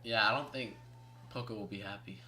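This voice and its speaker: A man's voice: lowish voice, fairly quick, sharp tone, slight background noise. A young man co-commentating a race casually, teasing and slangy. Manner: teasing, slangy, says bro.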